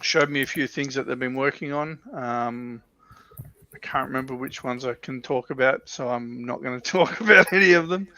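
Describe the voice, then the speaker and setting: A man's voice: mid-range voice, normal speed, sharp tone, no room sound. An Australian man chatting casually on a hobby livestream about military vehicles.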